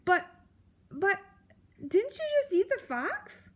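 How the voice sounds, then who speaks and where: A woman's voice: extremely high pitch, sing-song, takes it slowly, pitched above the performer's own voice, heavily acted. A woman voicing a timid young female storybook mouse, stammering and earnestly pleading. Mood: hesitant, puzzled.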